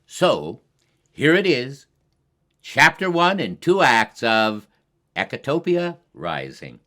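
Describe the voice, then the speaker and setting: An older man hosting a community show, giving a scripted introduction in an earnest, deliberate, halting way. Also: medium pitch, sing-song, fairly bright tone. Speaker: host of a community climate show.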